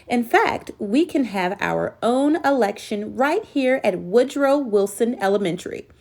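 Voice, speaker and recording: A woman's voice: fairly high pitch, very animated, unhurried, darkish tone, heavily acted. A woman voicing an encouraging, instructive female schoolteacher in a storybook, addressing her young class.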